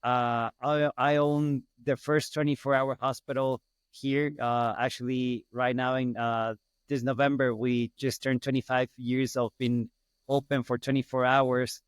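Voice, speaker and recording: A middle-aged man from Ecuador with a Spanish accent talks casually as a podcast guest. His voice is clean and smooth, in a dry recording, and he often repeats phrases to make a point. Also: medium pitch, little pitch movement, a little slow, no room sound. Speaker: podcast guest, hospital business owner. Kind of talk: casual interview storytelling.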